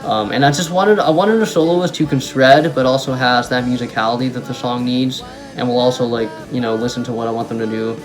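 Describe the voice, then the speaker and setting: A man's voice: medium pitch, steady tone, fairly quick, over music, rough recording. A young man, a German native speaker, casually talking about his own song with many ums and restarts. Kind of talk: casual talk to camera.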